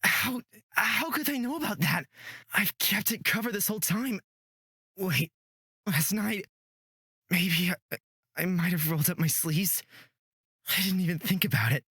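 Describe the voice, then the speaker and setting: Earnest, apologetic young male hero for a fantasy visual novel, acted by a man, animated delivery. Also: sits high, a little slow, long pauses, husky, dry recording, clean studio sound, heavily acted. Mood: worried.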